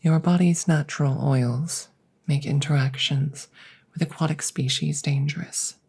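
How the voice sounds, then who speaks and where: A woman playing a detached, formal alien scientist in an ASMR audio roleplay, speaking in a measured, breathy way that slips into whispers. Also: low-pitched, fairly even intonation, warm tone, full character voice. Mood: clinical, matter-of-fact.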